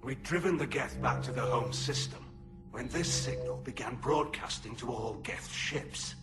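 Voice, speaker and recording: An actor voicing a male alien admiral who speaks in an urgent, forceful way. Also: mid-range voice, measured pace, loud music behind the voice, close to an everyday voice.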